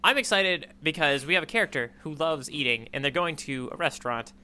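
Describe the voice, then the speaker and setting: A man's voice: fairly high pitch, lively intonation, a little fast, bright, forceful tone, clean tone. A man co-hosting a podcast, chatting casually with playful, joking speculation. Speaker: podcast co-host. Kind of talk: casual chat.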